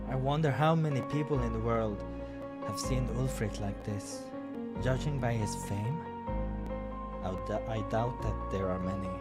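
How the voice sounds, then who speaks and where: A man hosting a playthrough, narrating a visual novel with playful, amused and crude casual commentary. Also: mid-range voice. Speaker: host of a game playthrough. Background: music.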